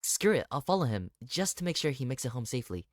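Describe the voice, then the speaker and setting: A man's voice: medium pitch, big pitch swings, quick pace, no room sound, clean studio sound, close to an everyday voice. A man voicing an anxious, earnest young protagonist in a horror visual novel. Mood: nervous, worried. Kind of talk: dramatic game character reading.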